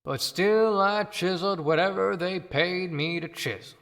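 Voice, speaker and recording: Slow, echoing woman as a rueful stone carver. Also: fairly low pitch, creaky, a bit bright. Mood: rueful, measured. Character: weary, rueful male tombstone carver, confessing. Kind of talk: theatrical dramatic monologue. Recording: long hall reverb.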